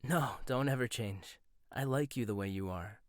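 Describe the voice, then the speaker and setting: A young man voicing a proud, teasing male chef in a boyfriend roleplay, talking softly and stammering when flustered. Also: medium pitch, moderate pace, no room sound, clean studio sound, close to an everyday voice. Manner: soft-spoken, teasing, gets flustered and stammers. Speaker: ASMR roleplay voice actor. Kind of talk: boyfriend ASMR roleplay, one-sided dialogue.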